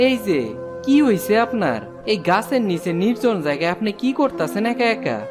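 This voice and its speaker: A man's voice: sits high, very animated, normal speed. A man playing a kindly woodcutter over music. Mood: curious, concerned. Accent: rural Bangladeshi. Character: kind, helpful village woodcutter, a family man. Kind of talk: cartoon storytelling dub. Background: prominent music.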